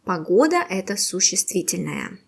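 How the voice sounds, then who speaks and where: A woman teaching a beginner language quiz, with patient, slow and carefully exaggerated pronunciation. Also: fairly low pitch, sing-song, vocal fry, slightly rough, soft background music.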